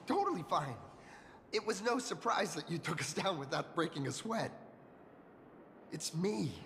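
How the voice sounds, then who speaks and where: A man's voice: high voice, a bit bright, exaggerated voice. A man playing an earnest, self-doubting young rookie hero in a video game, speaking in a humble, hesitant manner. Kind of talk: animated game voice acting. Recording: long reverb, clear music behind.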